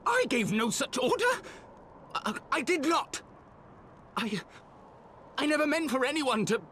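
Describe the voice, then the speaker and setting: A man's voice: extremely high pitch, lively intonation, unhurried, pushed and bright, slight background noise, heavily acted. A man playing a haughty, flustered nobleman in a fantasy video game, imperious and agitated. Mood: shaken denial. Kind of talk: dramatic video game voice acting.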